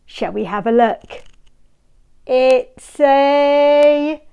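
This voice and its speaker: A woman reading a picture book aloud to small children, sing-song and inviting, drawing the listener in with questions and hushed counting. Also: sits high, extremely slow, dull audio. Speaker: storybook reader.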